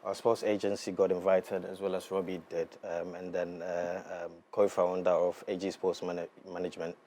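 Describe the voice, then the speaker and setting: A man's voice: deep voice, level tone, normal speed, warm tone, a little hiss or hum. A man, speaking politely and hesitantly as an interview guest. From born in Ghana, moved to UK. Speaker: guest, sports agency partner. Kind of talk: measured interview talk.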